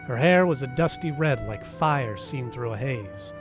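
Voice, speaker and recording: A man's voice: mid-range voice, animated, a little slow, over music, lightly acted. A man playing a wisecracking, dryly sardonic private eye in an old-time radio detective play. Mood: cool narrating. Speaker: actor in live radio play, also narrating.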